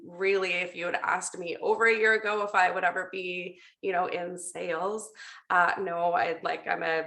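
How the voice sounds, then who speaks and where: Bright, chatty woman hosting casually. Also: mid-range voice. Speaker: host of a team video call. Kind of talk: casual conversational talk.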